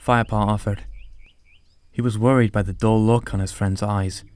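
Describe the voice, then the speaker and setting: Guy narrating an animal story audiobook, lowish voice, dry close sound. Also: steady tone, normal speed, a little mellow, clear, resonant tone, no echo.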